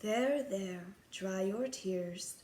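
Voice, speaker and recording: A woman voicing a gentle fairy godmother from a storybook, soft and comforting in manner. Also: medium pitch, very slow, echoey room. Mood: soothing.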